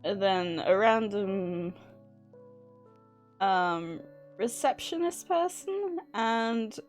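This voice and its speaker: A woman with a mid-pitched voice chats casually to her livestream audience. She comes across as nervous and giggly. Music plays behind her as she talks. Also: animated, very slow. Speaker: livestream host. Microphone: streaming microphone at a desk.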